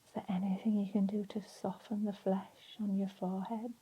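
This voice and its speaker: A woman leading a guided relaxation in a soft, breathy, soothing voice, leaving long pauses between phrases. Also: medium pitch, little pitch movement, dull audio. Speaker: meditation and relaxation instructor.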